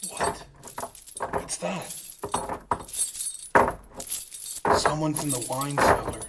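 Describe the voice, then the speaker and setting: A man's voice: a little high, very noisy. A man playing a crotchety, stubborn old miser who stammers and exclaims in an old-time radio drama. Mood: fearful. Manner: halting, exclamatory, stammering in fright.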